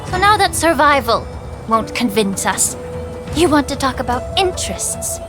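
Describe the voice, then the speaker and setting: An actress playing a poised, sharp-minded female healer official in a video game, speaking with polite, composed formality and a pointed edge. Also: sits high, very animated, a little slow, music in the background. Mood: cool, accusing. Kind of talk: dramatic video game voice acting.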